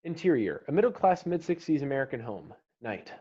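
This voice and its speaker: Casting: male stage-direction reader, medium pitch, terse scripted narration, slightly muffled online-call sound. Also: big pitch swings, brisk, mellow, slightly rough.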